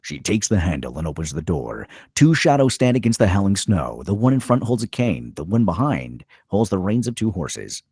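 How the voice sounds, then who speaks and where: Deep, husky male screenplay narrator. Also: very animated, normal speed, hardly any pauses, a little mellow, no echo, clean studio sound. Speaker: stage-direction narrator.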